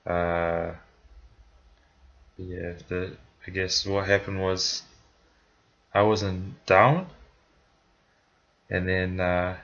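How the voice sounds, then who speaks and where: A man's voice: low voice, fairly even intonation, very slow, gravelly, long pauses, a bit bright, lo-fi sound. A young man from New Zealand with a New Zealand accent, telling a personal story in a rambling, casual way, full of fillers and restarts. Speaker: vlogger.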